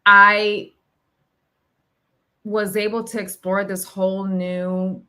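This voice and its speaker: A woman talking reflectively and casually about personal experience, feeling her way through her thoughts in a halting, searching delivery. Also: mid-range voice, level tone, sharp tone. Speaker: podcast host sharing personal reflections. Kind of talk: reflective, casual spiritual conversation.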